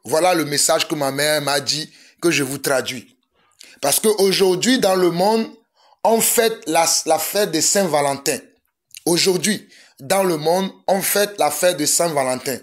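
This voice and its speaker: A man's voice: fairly high pitch, a little slow. A man from Togo, preaching a fervent sermon that mixes prayer with urgent exhortation and repetition. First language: a Togolese language.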